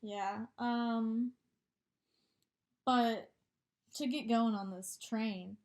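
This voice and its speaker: A young woman with a voice a little higher than most, speaking in a relaxed, joking way. She is candid and easygoing, and she often trails off mid-thought. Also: drawn-out words. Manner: laid-back, joking, candid. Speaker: podcast co-host. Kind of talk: casual chat.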